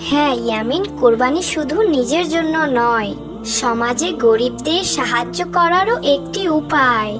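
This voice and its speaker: A woman's voice: sits high, animated, normal speed, over music. A woman playing a pious, approving mother who earnestly teaches her son in a moral cartoon. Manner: earnest, instructive. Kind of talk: scripted moral cartoon dialogue.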